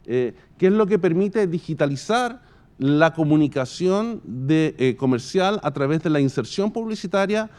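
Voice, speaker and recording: A man with a Chilean accent giving an explanatory business presentation as a company executive, in formal, halting, deliberate phrases with long winding sentences. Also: on the high side, lively intonation, darkish tone. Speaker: radio and podcast company executive presenting a case study.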